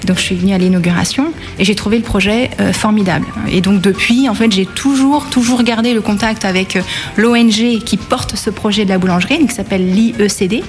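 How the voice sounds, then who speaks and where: A woman's voice: medium pitch, fairly quick, soft background music. A woman in her thirties from France, answering interview questions in a hesitant way with many fillers and restarts. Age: 30s. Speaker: bakery manager.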